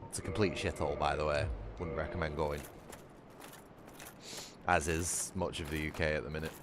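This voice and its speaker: Deep-voiced sweary Manchester streamer. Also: male voice, steady tone, normal speed, over music. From England. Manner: blunt, sweary, chatty. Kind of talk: casual livestream gaming chat.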